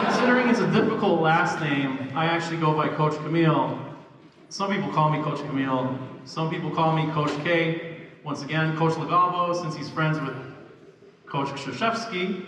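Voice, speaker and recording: A young man, born in Poland and raised in Canada, giving a self-deprecating banquet speech as a freshman basketball coach. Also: a little high. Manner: self-deprecating, chuckling asides. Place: large echoey hall. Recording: echoey, distant hall recording with background noise.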